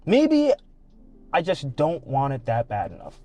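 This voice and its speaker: A man's voice: a little high, huge pitch swings, a little slow, a little mellow, no echo. A man co-hosting a casual podcast chat, musing aloud with frank, swearing talk.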